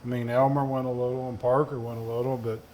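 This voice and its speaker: Southern man in panel chat, easygoing stories at a medium pitch, faint hum behind. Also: flat delivery, fast, darkish tone. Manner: folksy, rambling anecdotes. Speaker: distiller on a panel. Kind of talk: casual storytelling on a panel.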